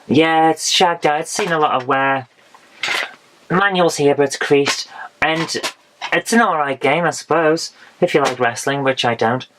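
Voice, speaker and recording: A woman, hoarse from losing her voice, chatting frankly through a haul of retro games with dry asides. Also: deep voice, normal speed, background noise. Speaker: retro game vlogger. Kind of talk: casual game haul review.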